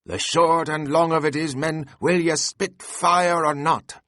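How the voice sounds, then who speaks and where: A man voicing a jovial, boisterous male whaling mate who loudly urges his rowing crew on. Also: fairly high pitch, fairly even intonation, unhurried, no echo, studio quality, pitched above the performer's own voice. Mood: rousing. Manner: hearty, exhorting, loud.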